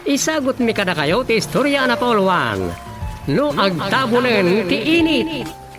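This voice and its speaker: A woman's voice: on the high side, big pitch swings, unhurried, music in the background. A woman with an Ilocano Filipino accent theatrically narrating a scripted radio drama introduction. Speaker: announcer and narrator.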